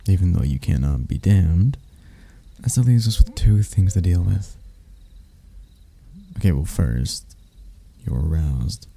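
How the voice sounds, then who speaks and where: Man playing a gravelly, very deep seductive demon. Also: lively intonation, mellow, exaggerated voice. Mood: amused, taunting. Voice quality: gravelly, husky. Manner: smooth-talking, teasing, slow and deliberate. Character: seductive, smug male demon in human form, mocking hunter. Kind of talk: intimate ASMR roleplay.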